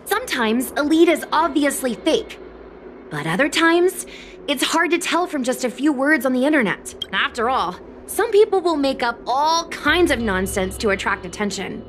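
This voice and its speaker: A woman's voice: high voice, animated, normal speed, a little hiss or hum. A woman voicing a chatty, confident young girl influencer in an anime game, breezy and persuasive. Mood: confident explaining. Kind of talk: anime game dialogue acting.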